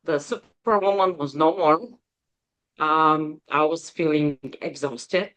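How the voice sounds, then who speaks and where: A woman in her forties with a Bulgarian accent, a guest telling her story in an interview, hesitant with many pauses. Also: low voice, a bit bright, rough recording. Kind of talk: interview conversation.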